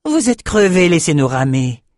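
A man's voice: on the high side, very animated, normal speed, a little mellow, no room sound, studio quality, voice pushed up. A man voicing a proud, sardonic female warrior scout in a fantasy audiobook. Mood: wry.

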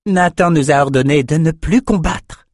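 A man's voice: on the high side, expressive, normal speed, dry recording, studio quality, voice pushed up, lightly acted. A man voicing a proud, sardonic female warrior scout in a fantasy audiobook. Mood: disgruntled.

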